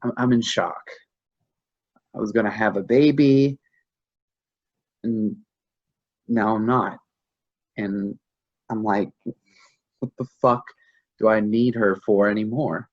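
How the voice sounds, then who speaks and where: A man acting a shaken, confused husband in grief in a staged play reading, speaking haltingly and repeating himself. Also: on the low side, steady tone, lo-fi sound. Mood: dazed, confessing. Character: shaken, confused husband grieving a lost pregnancy.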